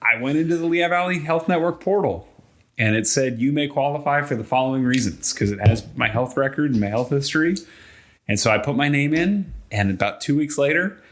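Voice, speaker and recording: A man co-hosting a podcast in a casual conversational style. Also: mid-range voice. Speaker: podcast co-host.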